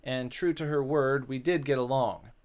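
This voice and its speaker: A man's voice: medium pitch, steady tone, moderate pace, darkish tone, lightly acted. A man voicing a mischievous, vain, lecherous male ghost in a theatrical, exclamatory way for a visual novel. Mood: defensive, boastful.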